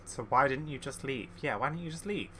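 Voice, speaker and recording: A man's voice: medium pitch, lively intonation, fairly quick, bright, forceful tone, slight background noise. A man giving casual gameplay commentary as a streamer, sometimes reading dialogue aloud. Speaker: game streamer.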